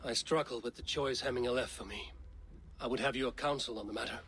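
A man playing a steady, earnest Viking warrior in a video game, speaking in a measured way. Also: mid-range voice, natural voice. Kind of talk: dramatic video game acting.

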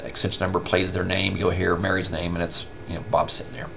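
A man giving a casual, step-by-step software tutorial as a help desk instructor. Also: low voice, monotone, fast talker, gravelly, background noise. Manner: informal step-by-step explaining.

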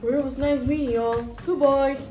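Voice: high voice, steady tone, normal speed, warm tone. Echoey parent voice playing along with kids. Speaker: parent hosting a children's puppet show. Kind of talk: casual playful interview with children's puppets. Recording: echoey room with music behind.

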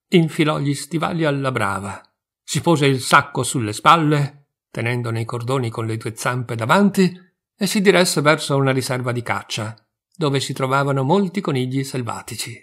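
A man in his sixties from Italy, reading a fairy tale aloud slowly and expressively. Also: mid-range voice. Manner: slow, measured, expressive. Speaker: storyteller.

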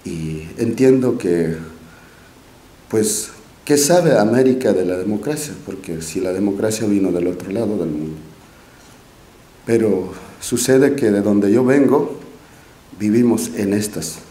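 Low, slow Andean man at a podium. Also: mellow. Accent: Quechua-speaking Andean Spanish. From Peru, Q'ero nation. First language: Quechua. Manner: halting and modest, with many restarts and fillers. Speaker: forum speaker. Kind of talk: speech at a podium. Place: large auditorium stage. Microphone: podium mic. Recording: echoey auditorium, faint room noise.

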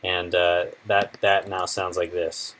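A man in his twenties presenting a casual software tutorial in an informal, halting, unscripted way. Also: deep voice, little pitch movement. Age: 20s.

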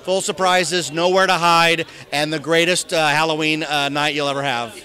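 A middle-aged man with an American accent talks up an event with a salesman's enthusiasm. His voice sits notably high and has a bright, forceful edge. He speaks into a handheld reporter's microphone in an interview. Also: fairly even intonation, normal speed. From Southern California, United States. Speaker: event master of ceremonies being interviewed. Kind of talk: promotional red-carpet interview. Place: outdoor press backdrop at a theme park at night.

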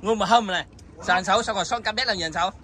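A man fishing on a boat outdoors, chatting excitedly with loud exclamations and blunt swearing. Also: high voice, rapid-fire, a little hiss or hum. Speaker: fishing vlogger.

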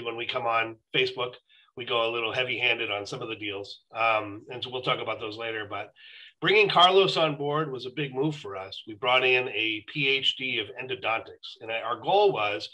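A man's voice: medium pitch, bright, forceful tone, rough recording. A middle-aged man on a video call, explaining his company as a guest on a live stream. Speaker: dental company executive, guest. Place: room at home or office, indoors.

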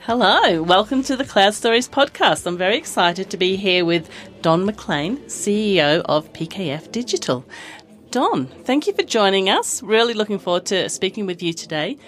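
A woman's voice: mid-range voice, expressive, moderate pace, faint music behind. A woman, speaking as the host of an interview podcast.

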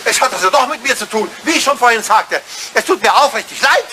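A man's voice: extremely high pitch, expressive, fast talker, pushed and bright, slight background noise, heavily acted. An older man acting in a radio play as a proud, dignified old butler, formal, stubborn and stiffly courteous. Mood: offended, dignified.

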